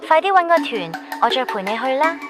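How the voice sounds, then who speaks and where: A woman's voice: high-pitched, very animated, a little fast, music in the background. A woman with a Hong Kong Cantonese accent playing a breezy, sceptical younger cousin in casual story dialogue. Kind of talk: scripted drama dialogue.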